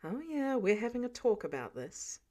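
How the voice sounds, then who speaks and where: A woman's voice: on the high side, big pitch swings, moderate pace, mellow. Woman as a dry, exasperated hero mentor. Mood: wry, stern. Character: exasperated adult male superhero mentor, wry, protective.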